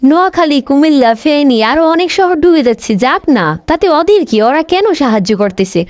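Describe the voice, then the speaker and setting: A woman's voice: sits high, sing-song, normal speed, darkish tone, dry recording, dull audio. A woman voicing a bossy, sulky, spiteful grandmother in an amateur comic cartoon, nagging and demanding petulantly. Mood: scornful.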